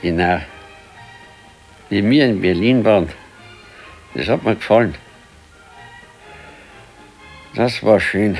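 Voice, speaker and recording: An actor playing an elderly working-class husband with a Bavarian accent in a naturalistic radio play, curt, dry and grumbling. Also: male voice, lowish voice, a little slow, creaky, soft background music. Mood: reminiscing.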